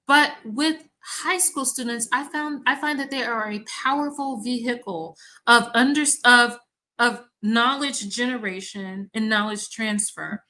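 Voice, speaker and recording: A woman from Mississippi, an academic researcher, telling stories in an animated, conversational way during a panel discussion. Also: fairly high pitch, measured pace. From Mississippi, USA. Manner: animated storyteller, acts out exchanges.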